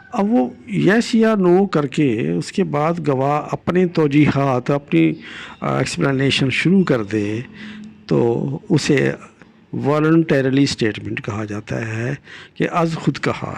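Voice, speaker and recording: An older man with a Pakistani accent gives a deliberate, didactic law lecture, repeating key phrases and switching into English legal terms. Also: on the high side, gravelly, a little mellow, a little hiss or hum. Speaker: retired judge giving legal lessons.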